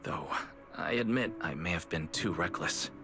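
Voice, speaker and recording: Composed young male guide for a game: lowish pitch, gentle, measured, kind. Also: animated. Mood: calm, candid. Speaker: video game voice actor. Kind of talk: animated game cutscene dialogue. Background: background music.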